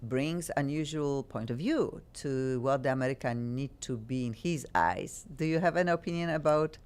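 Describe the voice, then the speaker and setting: A woman hosts a relaxed talk show chat, questioning her guests in loose, broken English. Her voice sits very low for a woman. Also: moderate pace, clear, resonant tone. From Poland. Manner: questioning, chatty, broken grammar. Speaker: host.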